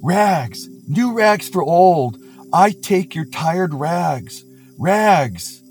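A man voicing a strong young ragman who calls out in a clear tenor street cry and speaks gently. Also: on the low side, animated, a little slow. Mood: calling out. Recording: music playing under the voice.

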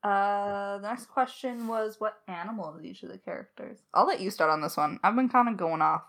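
Woman, mid-range pitch, playful, emphatic, casual podcast co-host chat. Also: a little fast, creaky, a bit bright.

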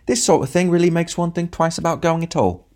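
Man putting on a small scowling snob, much higher voice, sniffy and loud. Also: steady tone, fairly quick, close to an everyday voice. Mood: snooty. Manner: haughty and peevish. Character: very short scowling snobbish man.

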